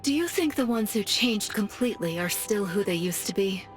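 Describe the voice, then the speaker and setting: A woman's voice: mid-range voice, animated, normal speed, soft background music, natural voice. Actress as a serious, composed woman. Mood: concerned, composed. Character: serious, composed woman discussing missing-person cases. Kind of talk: anime-style video game voice acting.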